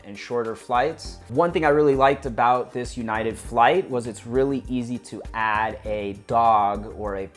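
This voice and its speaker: American male travel vlogger, low voice, casual and chatty, light music bed. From United States. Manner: chatty, direct to viewers, uses fillers. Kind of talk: casual flight review narration.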